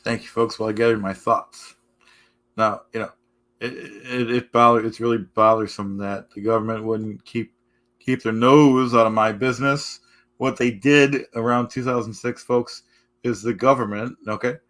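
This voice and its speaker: A man talks to his viewers on a live stream in a voice going hoarse. He speaks in halting runs, restarting phrases and tagging nearly every sentence with "folks" or "okay". His pitch sits at an ordinary middle level. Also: fairly even intonation, a bit bright. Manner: repetitive, keeps saying "folks" and "okay". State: losing his voice. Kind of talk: casual live-stream monologue.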